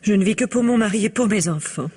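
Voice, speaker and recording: An actress playing an anxious, secretive married woman in a French mystery radio play, husky and breathy, hesitant and earnest. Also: mid-range voice, quick pace, mellow, close to an everyday voice. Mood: distressed, pleading.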